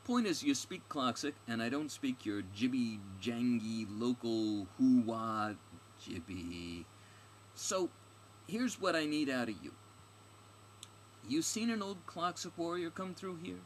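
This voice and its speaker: A man's voice: mid-range voice, lively intonation, slow pace, pitched above the performer's own voice. A man voicing a brash, foul-mouthed, impatient warrior woman in a fantasy story, with a blunt, sarcastic delivery. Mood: impatient, pushy. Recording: clearly noisy recording with ambient background.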